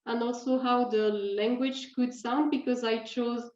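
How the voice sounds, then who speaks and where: A woman's voice: fairly high pitch, steady tone, unhurried, darkish tone, roomy sound, dull audio. A young woman with a Swiss French accent, a writer talking about her books in English with many fillers and restarts. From French-speaking Switzerland.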